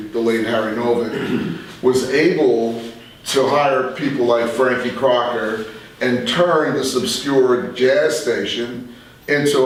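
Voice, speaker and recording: An older man telling stories conversationally as an interview guest, in a rambling, unhurried way. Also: medium pitch, a little hiss or hum. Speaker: interview guest, radio veteran. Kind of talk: conversational reminiscing. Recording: very echoey room, distant microphone.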